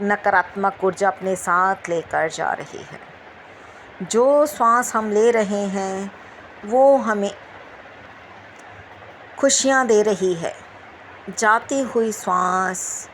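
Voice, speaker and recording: A woman guides a meditation at a very unhurried pace. Her pitch sits in the middle, and she repeats gentle instructions with long pauses. Faint background noise runs under the voice.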